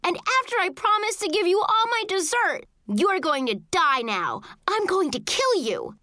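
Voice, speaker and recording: A hot-tempered big sister of school age, voiced by a woman in a children's story. She yells her accusations in a high, bright voice that swings widely. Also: sing-song, a little slow, no echo, clean studio sound, exaggerated voice. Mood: furious. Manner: yelling, accusing.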